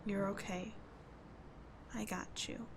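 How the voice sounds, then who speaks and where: A woman's voice: medium pitch, fairly even intonation, slow. Woman playing a blunt, caring delinquent schoolgirl, noisy background. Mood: soothing, protective. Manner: slangy, blunt yet soothing. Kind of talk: ASMR roleplay audio drama.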